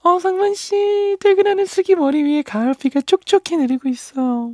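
A man reading a young woman's love letter aloud in a high falsetto voice. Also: level tone, normal speed, no room sound, studio quality. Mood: tender.

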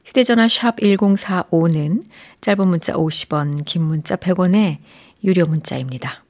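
Soft-spoken woman radio host, breathy at times. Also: on the low side, moderate pace. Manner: soft-spoken, often drops to a breathy near-whisper. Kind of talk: warm radio chat reading listener messages. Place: radio studio. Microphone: studio microphone.

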